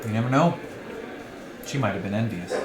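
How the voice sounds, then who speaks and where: A man's voice: fairly low pitch, fairly quick, a loud crowd, close to an everyday voice. Man playing a wry man feigning sobriety, echoey. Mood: wry, musing. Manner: hesitant, deadpan, earnestly straight-faced. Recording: echoey room.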